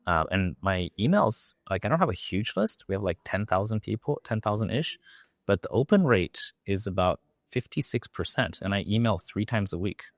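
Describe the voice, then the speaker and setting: A man's voice: fairly low pitch, lively intonation, moderate pace, dry recording, clean studio sound. A Japanese man speaking English with a learned American accent, a talkative podcast guest. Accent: American, learned from an acting coach. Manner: loose and talkative, fillers and restarts. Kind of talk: podcast interview.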